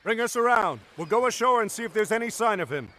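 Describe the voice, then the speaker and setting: An actor playing a resolute, noble commander hero in a video game cutscene. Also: male voice, sits high, animated, fast. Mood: commanding. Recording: slightly noisy game screen capture.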